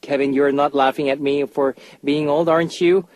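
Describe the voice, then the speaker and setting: A man's voice: a little high, fairly even intonation, moderate pace, a little mellow, no room sound. A man casually hosting a music radio show, chatting and reading out listener messages, often repeating phrases.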